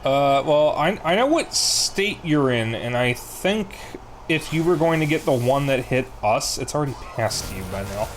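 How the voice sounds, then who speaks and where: A man with a Chicago accent, streaming a video game and chatting casually with viewers in a relaxed, rambling way. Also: medium pitch, moderate pace, background noise. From Chicago area, USA. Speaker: live streamer. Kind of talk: casual chat while playing a video game.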